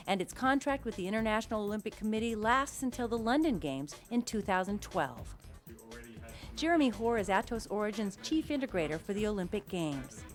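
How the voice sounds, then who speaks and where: A woman reading a scripted news voice-over with a crisp, lightly wry delivery. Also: mid-range voice. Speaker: news reporter. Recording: voice-over with faint music behind.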